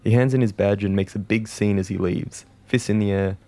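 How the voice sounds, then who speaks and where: A man narrating a comic pitch in a deadpan, wordy, theatrical storytelling way. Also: brisk.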